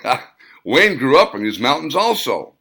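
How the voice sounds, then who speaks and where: A man voicing a boastful, shrewd old male war veteran who talks in an excited, raised storytelling voice. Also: medium pitch, moderate pace, vocal fry, slightly rough, voice pushed up, exaggerated voice. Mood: proud.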